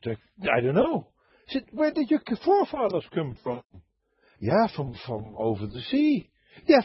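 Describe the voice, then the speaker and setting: A man calling into an online voice chat show, telling a story in a halting, rambling way with many fillers and frequent laughs. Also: sits high, slightly rough, no room sound, muffled recording. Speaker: caller.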